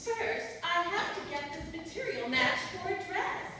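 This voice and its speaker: A woman's voice: very high voice, sing-song, measured pace, pushed and bright, exaggerated voice. A woman playing a scatterbrained, naive wife who muddles her words in a staged old-time radio comedy. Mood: agreeable, muddled. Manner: naive, earnest, spells words out letter by letter. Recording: echoey hall from far off, noisy.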